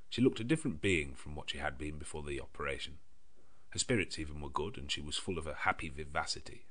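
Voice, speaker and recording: A man narrating a gothic novel in a measured, clearly enunciated literary manner. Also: a bass voice, pushed and bright. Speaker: audiobook narrator. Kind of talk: scripted narration of a gothic novel in diary form.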